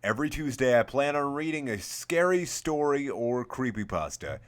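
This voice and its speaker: A man's voice: medium pitch, big pitch swings, normal speed. A man reading a scripted first-person horror story as the host of a scary story series.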